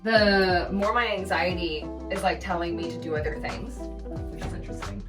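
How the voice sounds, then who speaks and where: A woman's voice: lowish voice, normal speed. Chatty young woman in an echoey room. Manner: chatty and excitable, talks to herself while working. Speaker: DIY home makeover vlogger. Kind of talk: casual vlog narration and self-talk. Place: room under renovation, indoors. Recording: echoey room, distant mic, music underneath.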